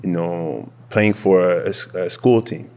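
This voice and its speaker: Low-voiced man with French first language. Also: a little slow, long reverb tail. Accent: Quebec French. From Quebec. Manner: relaxed and candid, with many fillers and restarts. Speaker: guest, football player. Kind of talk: casual interview.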